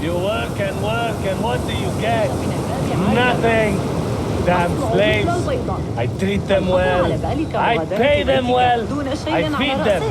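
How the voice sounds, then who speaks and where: A man's voice: high-pitched, takes it slowly, a loud crowd. An actor playing a groggy, self-pitying drunk male slave owner who talks in a slurred, rambling way.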